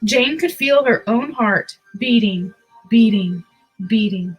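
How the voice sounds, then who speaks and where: Husky, lilting woman reading picture books. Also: on the high side, faint music behind, rough recording. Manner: expressive, measured storytelling. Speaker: host of a picture book read-aloud. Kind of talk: storybook read-aloud with casual asides.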